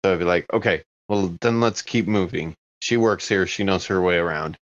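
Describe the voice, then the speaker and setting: A man's voice: deep voice, moderate pace, dry recording, highs cut off. A man casually improvising as a player in a tabletop roleplaying game.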